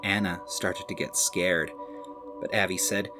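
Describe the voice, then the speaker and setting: A man reading to children as a storyteller, expressive, with dramatic emphasis. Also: low voice, unhurried, over music.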